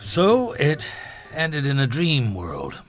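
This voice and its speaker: A man acting a weary, gruff middle-aged chief inspector in a radio drama, wryly reflective, with a husky voice. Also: a little high, huge pitch swings, slow, loud music behind the voice, full character voice. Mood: grave, regretful.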